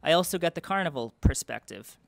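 A man's voice: a little high, lively intonation, fairly quick, vocal fry, no echo. A young man presenting to a town board at a public meeting, earnest and explanatory, with many fillers and restarts. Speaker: event committee representative. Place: town hall meeting room.

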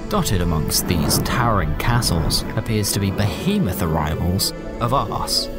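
Male narrator, British, low voice, wry comic first-person storytelling over loud music. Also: lively intonation, unhurried. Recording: loud music bed under the voice.

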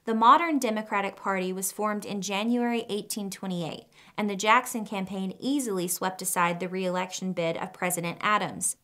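A young woman narrates an educational lesson from a script, in a mid-range voice that stays clear and measured. She sits in a quiet studio, close to the microphone. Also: mid-range voice. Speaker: educational video presenter. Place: studio with a plain wooden backdrop.

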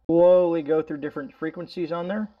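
Rambling, higher-voiced man demonstrating gear. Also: fairly even intonation, brisk, highs cut off. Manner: rambling, folksy, explanatory. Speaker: host of a product review video. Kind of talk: casual product demonstration. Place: indoors at home.

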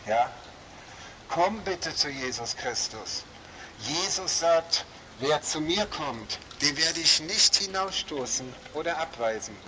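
A street preacher from Berlin with a light Berlin accent gives a fervent open-air sermon in a rainy shopping street. His voice sits a little high for a man. It is recorded outdoors under a small roof, with steady street noise behind him. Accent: light Berlin German. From Berlin, Germany. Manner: fervent, repeats "ja" and "Halleluja". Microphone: small loudspeaker setup. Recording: noisy outdoor street ambience.

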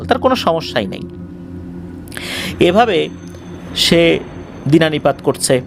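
A man with a fairly high voice tells a scary story as if speaking straight to listeners. His pitch swings in a very lively way, and he repeats phrases for suspense. Some faint background noise sits behind him. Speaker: horror story narrator. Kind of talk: conversational spoken horror storytelling.

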